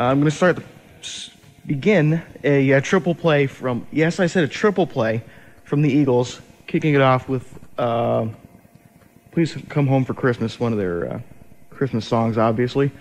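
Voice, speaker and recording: A man's voice: mid-range voice, moderate pace. A young man hosting as a college radio DJ, chatting casually between songs in a sleepy, hesitant, rambling way on an over-the-air recording. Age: young adult.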